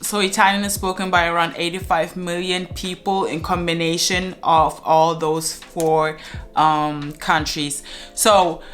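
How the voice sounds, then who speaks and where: A woman's voice: lowish voice, little pitch movement, measured pace, a bit bright, soft background music. A young woman giving earnest, chatty advice to the camera from her living room, with many "uh" fillers. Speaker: language vlogger.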